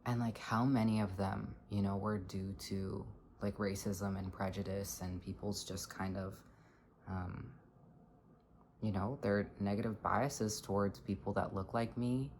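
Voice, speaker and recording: A person in their twenties with a low voice, talking earnestly in a halting, reflective personal vlog. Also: fairly even intonation, a little mellow, a little hiss or hum. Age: 20s. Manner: earnest, halting, searching for words. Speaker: vlogger.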